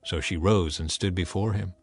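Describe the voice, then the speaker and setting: A man's voice: low-pitched, steady tone, moderate pace, breathy edge, no room sound. A man narrating scripture as an audio drama, with dramatic, emphatic delivery.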